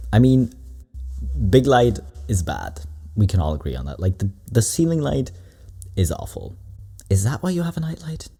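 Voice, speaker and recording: A man with a very low, mellow voice that still swings up and down a lot. He chats casually through a reaction video, relaxed and rambling, tossing in quick asides. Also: husky. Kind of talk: casual commentary on clips.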